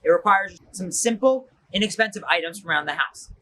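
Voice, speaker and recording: A young man hosts a children's science activity with casual, informal instructions. His voice is notably high for a man and has a bright, sharp tone. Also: lively intonation, brisk, a little hiss or hum, low-quality recording.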